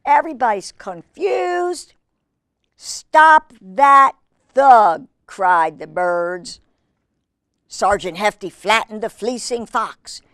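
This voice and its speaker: A woman with a very animated, swooping delivery hosts a storytime for children. She reads picture books aloud and slips into chatty, gushing asides, speaking fondly to her young listeners. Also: on the high side, takes it slowly, no echo, studio quality. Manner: gushing, expressive, exclaiming over pictures.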